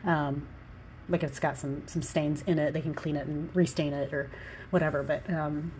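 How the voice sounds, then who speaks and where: A woman's voice: low-pitched, steady tone, normal speed, a little mellow, a little hiss or hum. A woman in her thirties from the American South, congested with allergies and a little out of breath, rambling casually with many fillers while she talks through a building design. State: slightly out of breath. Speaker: Sims builder and architect.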